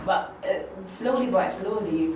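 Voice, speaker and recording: A woman's voice: medium pitch, a little slow. A woman from Uganda interviewing a guest, searching for words and often repeating herself. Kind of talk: interview questions. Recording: echoey room, mic far off, noisy.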